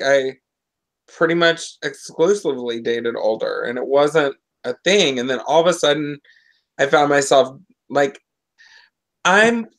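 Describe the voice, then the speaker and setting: Woman in her forties on a video call. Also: low-pitched. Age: 40s. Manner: rambling, self-interrupting. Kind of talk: casual chat. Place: living room at home. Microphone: video call webcam.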